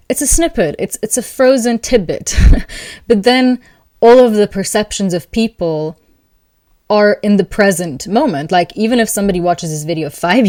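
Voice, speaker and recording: A woman hosting an astrology video, talking calmly and thoughtfully to camera, with soft laughs between thoughts. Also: on the low side, dry recording. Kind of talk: casual spoken reflection to camera.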